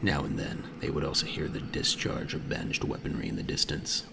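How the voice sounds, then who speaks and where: A man with a deep, gravelly voice reading dramatic scripted narration in a deliberate, suspenseful way. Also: very animated, heavy background noise.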